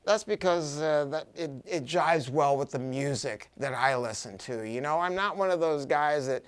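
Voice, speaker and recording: An American man giving an enthusiastic, unscripted product pitch, chatty and emphatic, often repeating words for stress. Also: moderate pace, clean tone. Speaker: audio shop owner presenting a product review.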